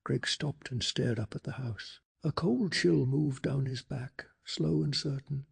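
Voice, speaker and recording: A man narrating a bedtime story in a soft-spoken ASMR style, calm, hushed and measured, with a breathy, gravelly voice. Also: medium pitch.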